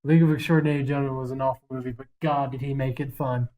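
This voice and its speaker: A man's voice: medium pitch, level tone, very fast, phone-quality sound. A man co-hosting a podcast in casual chat, brash, profane and joking. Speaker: podcast co-host.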